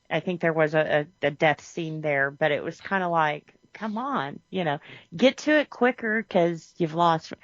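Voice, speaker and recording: A woman co-hosting a podcast who talks about a movie in an animated way, laughs often and acts out lines of the characters. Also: fairly low pitch, dry recording. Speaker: podcast co-host. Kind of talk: casual movie discussion. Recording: dull lo-fi podcast audio.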